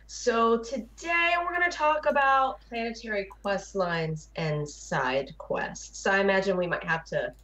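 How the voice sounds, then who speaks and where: A woman's voice: medium pitch, measured pace, few pauses, a bit bright, lo-fi sound. A woman hosting a live talk show podcast, opening the show and introducing the panel.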